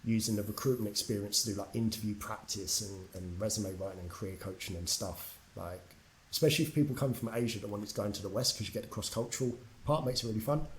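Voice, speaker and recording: A man's voice: lowish voice, quick pace, a little hiss or hum, low-quality recording. A young man from England, a podcast guest telling his story in a candid, casual way, swearing freely and using lots of fillers. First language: English.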